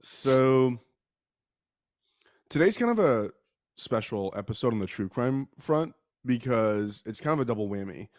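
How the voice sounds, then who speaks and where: A man's voice: medium pitch, big pitch swings, a little slow, darkish tone, dry recording, clean studio sound. Under-the-weather man podcaster. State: sick with a respiratory virus. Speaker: podcast host. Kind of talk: casual chat and true-crime storytelling.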